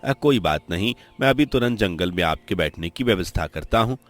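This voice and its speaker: A man's voice: sits low, expressive, fairly quick, over music. A man voicing an obedient, apologetic royal soldier in a cartoon story, deferential and placating.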